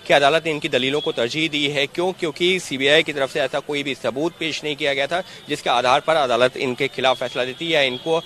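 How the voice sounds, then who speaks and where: Male field reporter, pitched a little high, live news questioning with light urban noise behind. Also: fairly even intonation, brisk, few pauses, sharp tone. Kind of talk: live news interview in Hindi. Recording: outdoor street noise behind.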